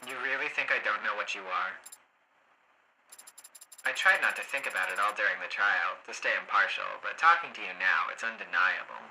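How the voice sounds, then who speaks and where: A woman voicing a brash, flamboyant, chatty female android court adjutant in a video game, loud and theatrical. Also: extremely low pitch, expressive, brisk, exaggerated voice, rough recording. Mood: smug, mock-serious. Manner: loud, theatrical, swooping, playful. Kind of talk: video game character voice acting.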